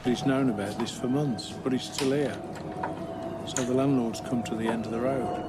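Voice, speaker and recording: A man's voice: mid-range voice, fairly even intonation, moderate pace, heavy background noise. Blunt man, matter-of-fact. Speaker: High Court enforcement agent. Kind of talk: on-the-job talk and interview.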